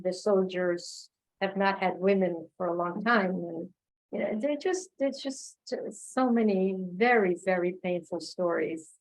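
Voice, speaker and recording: A woman giving thoughtful, reflective answers as a guest speaker in an online discussion, pausing as she finds her words. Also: lowish voice, poor audio. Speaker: guest speaker, filmmaker and oral history researcher. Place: remote online video call.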